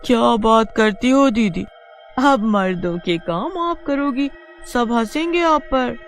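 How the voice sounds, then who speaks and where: A woman's voice: on the high side, expressive, takes it slowly, soft background music, voice pushed up. A woman voicing a timid, questioning young village girl with a fretful, childlike manner in a storybook tale. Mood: teasing doubt. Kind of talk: storybook character voice.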